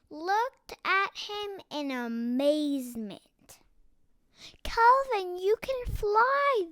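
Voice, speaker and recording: A child reading a children's picture book aloud with deliberate, dramatic emphasis. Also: very high voice, pushed and bright, no echo, clean studio sound. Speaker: storybook reader.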